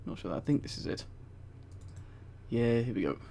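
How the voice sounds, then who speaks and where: A man's voice: medium pitch, expressive, normal speed, gravelly, mellow, slight background noise. A man on a headset mic, reading aloud on a casual livestream with rambling, amused asides. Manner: amused, giggly, rambling commentary. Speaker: streamer reading a book aloud to live chat.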